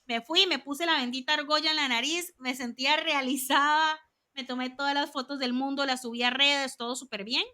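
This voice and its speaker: Bright, high-voiced Costa Rican woman. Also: fairly quick. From Costa Rica. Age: 30s. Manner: animated, chatty storytelling with lots of asides. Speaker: guest, communications consultant. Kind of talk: interview.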